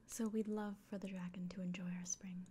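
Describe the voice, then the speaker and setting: A woman voicing a kindly, earnest elven innkeeper in a gentle, breathy, unhurried whisper for an ASMR fantasy roleplay. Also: medium pitch, flat delivery, a little hiss or hum, close to an everyday voice. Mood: gentle, reverent.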